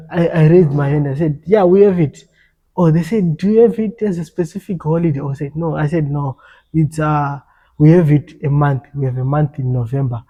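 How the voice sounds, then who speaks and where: A man's voice: a little high, highs cut off. A young African man talking earnestly in a casual podcast conversation, often repeating and restarting his words.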